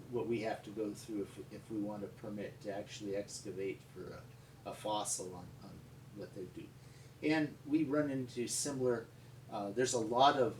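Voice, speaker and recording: An American man telling stories in an unscripted, conversational way, with a rambling, halting delivery. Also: on the low side, a little mellow. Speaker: paleontologist and museum founder telling stories. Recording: echoey, distant mic with background noise.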